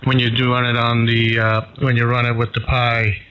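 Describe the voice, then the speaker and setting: A man's voice: mid-range voice, completely flat delivery, normal speed. A man in casual, hesitant conversation as a ham radio operator, heard over a radio transmission. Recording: dull radio link with faint noise.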